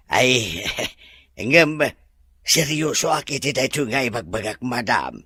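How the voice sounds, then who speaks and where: A man's voice: mid-range voice, sing-song, unhurried, sharp tone, breathy edge, no room sound, exaggerated voice. A man playing a sheepish older farmhand in an Ilocano audio drama, stammering deferentially with an Ilocano accent. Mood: earnest. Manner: stammering, interjection-heavy.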